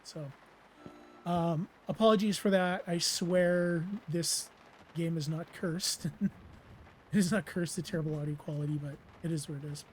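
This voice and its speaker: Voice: medium pitch, fairly even intonation, normal speed, a little hiss or hum. A let's play host giving casual gameplay commentary, rambling with lots of fillers and self-deprecating asides, voice weakened and strained from losing it.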